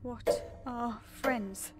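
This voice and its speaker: An actress playing a timid, naive young maid, a lost spirit, hesitant and deferential, in an audio drama. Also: female voice, on the high side, steady tone, extremely slow. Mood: timid wonder. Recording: loud music under the voice.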